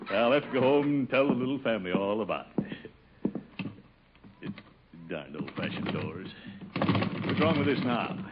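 An actor with an American accent playing a pompous, self-satisfied, easily exasperated boss in a theatrical 1950s radio comedy style. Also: male voice, fairly high pitch. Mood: panicked. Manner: blustery, theatrical, muttering to himself. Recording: muffled, noisy 1950 radio recording.

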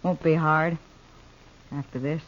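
A woman's voice: sits low, steady tone, slow. An American actress playing a weary, independent frontier woman in a 1950s radio western, clipped and guarded. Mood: bitter, resolute. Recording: muffled vintage 1950s radio recording.

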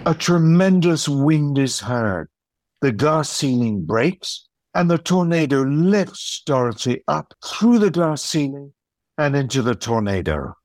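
A man reading scripted narration for an audio play in a measured storytelling manner. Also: fairly high pitch, big pitch swings, a little mellow, dry recording.

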